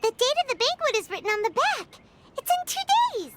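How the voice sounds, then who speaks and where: Squeaky, excitable little fairy companion. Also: female voice, a little fast, slight background noise, full character voice. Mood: bright, excited. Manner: perky, bubbly, speaks of self in third person. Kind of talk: video game voice acting.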